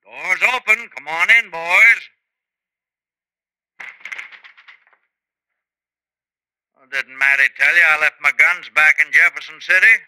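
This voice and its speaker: A shrewd American frontier sheriff in an old radio western. His fairly high voice is hard and very bright as he needles outlaws in terse, folksy phrases. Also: moderate pace, clear, resonant tone, rough recording. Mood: steady, coaxing. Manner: terse, folksy, insistent drawling talk. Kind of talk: 1950s radio western acting. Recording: 1950s radio recording.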